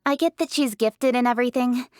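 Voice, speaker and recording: Woman voicing a high-voiced, brisk young female official. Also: no room sound, studio quality, close to an everyday voice. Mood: reflective, candid. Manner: articulate, assertive, matter-of-fact. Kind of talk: scripted video game character voice lines.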